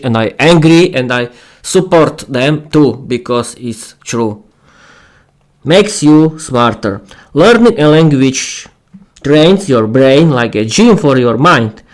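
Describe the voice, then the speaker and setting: Slow, halting man reading aloud. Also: medium pitch. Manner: halting reading with stumbles and repeated words. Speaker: podcast host and English teacher. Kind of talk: reading a written text aloud on a livestream with casual asides.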